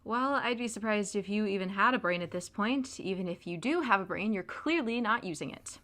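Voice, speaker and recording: An actress plays a young woman betrayed by her boyfriend in an audio drama. Her voice is bright and forceful, with a biting, sarcastic edge. She swears freely, sounding both hurt and furious. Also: mid-range voice, lively intonation, a little fast, natural voice. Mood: bitter.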